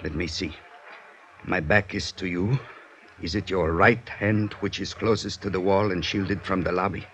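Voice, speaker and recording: A man's voice: low-pitched, steady tone, close to an everyday voice. An actor playing a secretive, calculating foreign informant in a 1950s radio drama, formal and cautious in his phrasing. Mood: guarded, businesslike. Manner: formal, cautious, measured, avoids contractions. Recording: faintly noisy 1950s radio recording.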